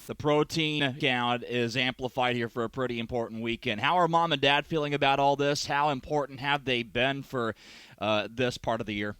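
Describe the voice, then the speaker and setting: Sports radio host, male, mid-pitched and smooth, polished questioning in a dry acoustic. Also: moderate pace, a bit bright, no echo.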